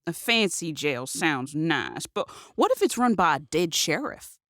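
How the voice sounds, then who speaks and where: A woman playing a feisty, defiant young outlaw in a western audio drama, with a sharp way of talking. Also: low-pitched, very animated, a little slow, no room sound, studio quality, full character voice.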